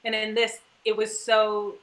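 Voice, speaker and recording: A woman's voice: mid-range voice, monotone, a little slow, low-quality recording. A woman talking casually on a panel, earnest and emphatic, working out her thoughts aloud. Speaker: stage actor on a panel.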